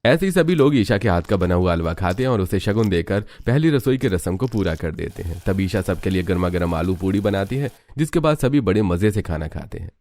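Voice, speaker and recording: A man's voice: deep voice, animated, a little fast, few pauses, darkish tone. A man reading scripted narration for a family cartoon story.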